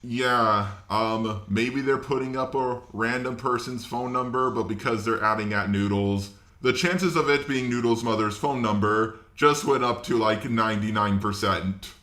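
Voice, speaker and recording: Bright-voiced man in an echoey room. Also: mid-range voice, measured pace, hardly any pauses. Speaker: commentator reacting to a video. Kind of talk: casual reaction commentary. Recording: roomy, echoey voice recording.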